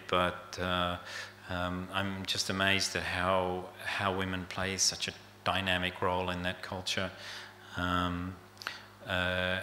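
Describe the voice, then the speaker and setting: A man's voice: deep voice, pushed and bright, reverberant, slight background noise. An older man from Australia giving an unscripted talk about his photographs, hesitant and full of fillers and trailing phrases.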